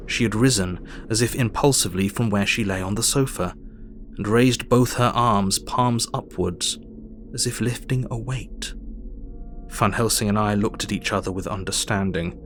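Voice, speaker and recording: A man playing an earnest Victorian doctor, narrating his diary in a measured, formal way for an audio drama. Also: lowish voice, faint music behind, lightly acted. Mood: sober, tense.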